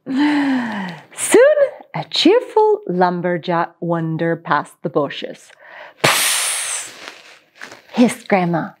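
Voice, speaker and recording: Female children's storyteller: expressive, swooping intonation, unhurried delivery, playful asides. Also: fairly low pitch.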